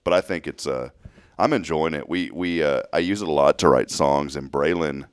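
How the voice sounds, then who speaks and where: A man hosting a morning radio show in casual chat, sounding groggy and rough, rambling and restarting his sentences. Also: a bass voice, moderate pace, no room sound, clean studio sound. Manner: rambling, self-interrupting, chuckling.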